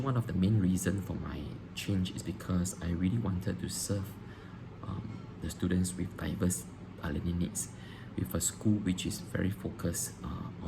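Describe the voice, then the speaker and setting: A man, a teacher answering interview questions on video, pausing often with ums and restarting phrases. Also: low-pitched, gravelly. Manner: hesitant, frequent ums and restarts. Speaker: teacher applying for a job. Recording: noisy background hiss.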